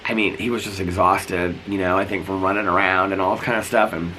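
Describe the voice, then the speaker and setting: Sick man rambling outdoors, bright voice. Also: low-pitched, fairly even intonation, fairly quick, slight background noise, low-quality recording. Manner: rambling, full of "like" and restarts. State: sick with a cough, voice going. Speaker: vlogger. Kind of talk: casual vlog chat. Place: sitting outdoors.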